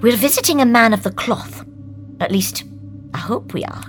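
A woman giving an expressive audiobook performance, moving between acted dialogue and narration. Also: on the high side, normal speed, close to an everyday voice. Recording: music behind the voice.

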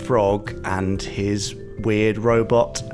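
A man's voice: on the low side, level tone, very slow, music in the background. A man co-hosting a podcast, chatting casually with dry, self-deprecating humour. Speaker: podcast co-host. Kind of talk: casual studio chat.

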